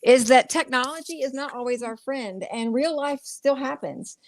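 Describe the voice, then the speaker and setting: A woman with a fairly high voice co-hosts a live chat show. She talks casually and informally, as if among friends. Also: normal speed. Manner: informal, chatty. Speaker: co-host.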